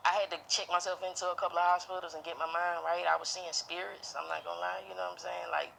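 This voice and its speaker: A woman's voice: lowish voice, steady tone, quick pace, vocal fry, a bit bright, a little hiss or hum, rough recording. Hesitant woman speaking candidly in interview. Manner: hesitant, repeats words, candid. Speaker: interviewee, former group member. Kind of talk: news interview soundbites.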